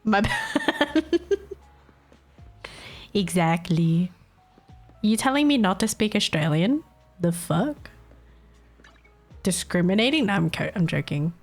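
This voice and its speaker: A young woman with a Filipino accent chatting casually on a live stream, teasing her chat and laughing often. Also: mid-range voice. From Philippines.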